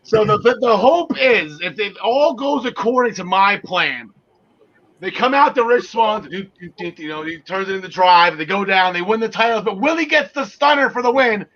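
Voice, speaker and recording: A man hosting a wrestling panel show, recapping events with animated, emphatic delivery on slightly muffled audio. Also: high-pitched, moderate pace. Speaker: podcast host.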